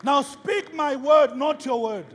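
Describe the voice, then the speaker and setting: Very high-pitched man, impassioned preaching, rhetorical repetition, music behind. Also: slow. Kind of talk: impassioned sermon. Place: large reverberant indoor worship space. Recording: hall reverb with music behind.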